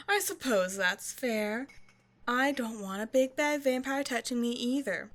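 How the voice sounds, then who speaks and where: A woman's voice: fairly high pitch, expressive, slow, a bit bright. A woman voicing a haughty vampire scientist in an audio roleplay, with a playful, condescending, mock-sweet delivery. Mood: playful, teasing. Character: female vampire scientist, teasing, haughty captor. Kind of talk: scripted ASMR roleplay monologue addressed to the listener.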